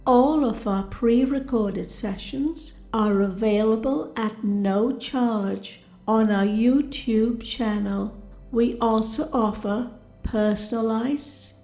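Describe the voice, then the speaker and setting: A woman narrating a scripted guided meditation with soothing affirmations in a calm, gentle, deliberate manner. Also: fairly high pitch, vocal fry. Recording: roomy reverberant voice over music. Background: music.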